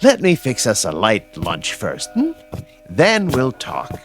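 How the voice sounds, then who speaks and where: A genteel caretaker gentleman speaks with a very animated, swooping pitch and an unhurried pace. He is fussy, courteous and unflappable, in the mannered way of classic radio drama, with music underneath. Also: full character voice. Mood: politely concerned. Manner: courteous, mannered, old-fashioned phrasing.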